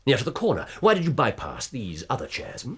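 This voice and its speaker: A man playing a shrewd, commanding private detective in a radio drama, questioning incisively in a husky English accent. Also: mid-range voice, wildly swooping pitch, quick pace, slight background noise. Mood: interrogating.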